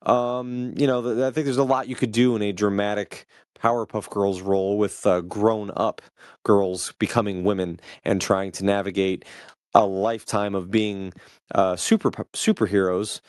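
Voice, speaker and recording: A man co-hosting a podcast in a casual, halting, rambling way, thinking aloud as he goes. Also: lowish voice, flat delivery, a little mellow, clean tone, no echo, studio quality. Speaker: podcast co-host.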